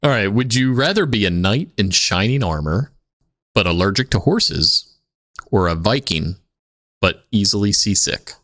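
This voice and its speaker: A man with a low voice trades casual, playful banter as a comedy podcast co-host. He swears freely, and the sound is dry and close, with little room in it. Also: animated, measured pace, fairly bright tone, no room sound.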